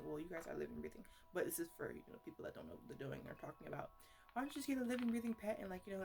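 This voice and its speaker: A woman playing a chatty, easily exasperated botanist who mothers her plants in an improvised ASMR roleplay, talking in a halting, casual, playfully scolding ramble. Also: medium pitch, lightly acted.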